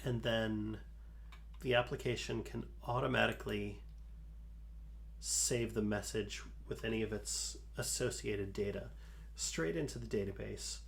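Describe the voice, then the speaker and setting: Slow gravelly man coding on stream. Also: on the low side. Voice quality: soothing. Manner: slow, calm, talks through code with fillers. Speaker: livestream host. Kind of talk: live coding stream, thinking aloud.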